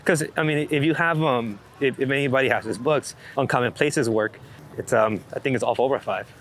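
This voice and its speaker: A man's voice: medium pitch, brisk, a bit bright, slight background noise. A man chatting casually in a laid-back, rambling way, swearing freely as he talks about his creative work as a photographer. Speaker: street photographer being interviewed. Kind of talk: casual chat.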